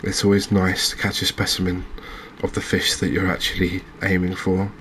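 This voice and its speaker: A man giving gentle, unhurried ASMR commentary over a cozy fishing game, with hesitant, understated phrasing. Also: low voice, monotone, slight background noise. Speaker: host, video game streamer.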